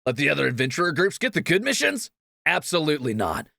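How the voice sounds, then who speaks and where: A man's voice: a little high, big pitch swings, fast talker, vocal fry, pushed and bright, no echo, studio quality, heavily acted. A man playing a cocky, greedy thief in a fantasy audio roleplay, teasing and sarcastic with swaggering banter. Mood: insistent.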